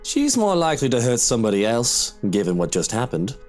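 A man's voice: medium pitch, wildly swooping pitch, fairly quick, faint music behind. A man voicing a sullen, dismissive young man.

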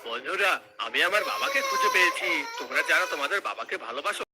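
Helpful cartoon character acted by a man, animated and highish, over loud music. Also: quick pace. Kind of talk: children's cartoon skit dubbing.

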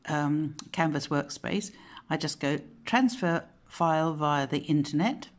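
A woman with a low, somewhat croaky voice walks viewers through a craft software tutorial from home. She chats loosely, trails off and corrects herself as she goes. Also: a little slow. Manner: chatty, rambling, with frequent self-corrections. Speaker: craft channel host. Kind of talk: casual screen-recorded software tutorial. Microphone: phone camera pointed at a monitor.

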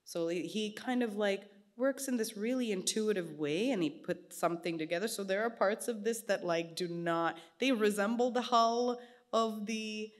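A woman in her thirties, an artist guest in casual onstage conversation, telling stories in an animated way and acting out the voices of people she quotes. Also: mid-range voice, moderate pace. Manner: animated storytelling, gestures a lot, acts out quoted voices. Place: small gallery event space, indoors. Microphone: stand mic.

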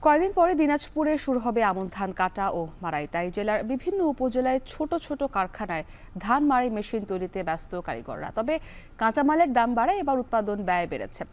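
A woman presenting news in a television studio, reading in a brisk, animated way. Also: fairly high pitch.

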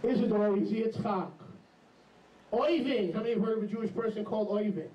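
A middle-aged man preaching an animated, emphatic sermon into a handheld mic in a small congregation hall. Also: sits high, fairly quick, muffled recording, a little hiss or hum. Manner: animated, emphatic, jumps into mocking voices. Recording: echoey hall, camera far off.

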